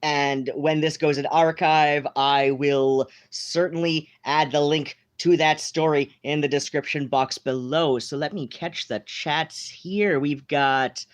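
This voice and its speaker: A home live-streamer talks slowly and unhurriedly, wandering from thought to thought. The voice sits at a middling pitch, with plenty of ums and self-corrections along the way. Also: fairly even intonation. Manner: meandering, many fillers and restarts. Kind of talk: casual rambling live-stream chat.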